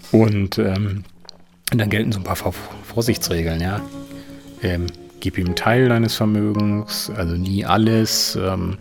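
Low-voiced man explaining, slightly creaky. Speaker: financial expert. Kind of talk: informal explanatory interview.

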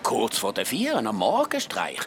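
An actor playing a volatile middle-aged uncle with a Swiss German accent and a theatrical, morbidly playful manner in a radio drama. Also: male voice, medium pitch, wildly swooping pitch, unhurried, slight background noise. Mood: jovial.

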